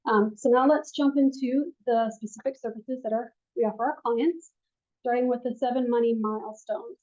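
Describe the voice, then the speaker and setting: Soft-spoken woman teaching a money class. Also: on the high side, moderate pace. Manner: soft-spoken, with frequent ums. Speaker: financial advisor teaching a class. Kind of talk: classroom presentation. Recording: dull lo-fi room recording.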